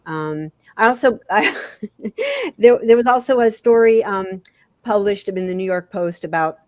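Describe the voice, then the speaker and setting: A woman speaking as an author guest in a candid interview, halting and repeating phrases for emphasis. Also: mid-range voice, no echo, studio quality.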